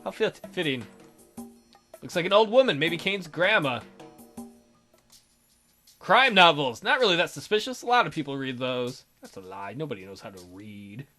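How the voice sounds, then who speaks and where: A woman's voice: deep voice, big pitch swings, moderate pace, pauses often, pushed and bright. A woman doing a game playthrough, reading text aloud and adding wry, sarcastic asides. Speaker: let's player. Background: game music.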